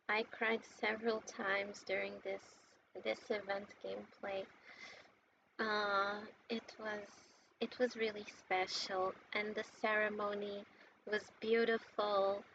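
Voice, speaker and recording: A woman giving casual, heartfelt game commentary in a gushing, sentimental and halting way. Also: medium pitch, vocal fry. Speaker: gaming content creator. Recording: muffled lo-fi mic with noticeable background noise.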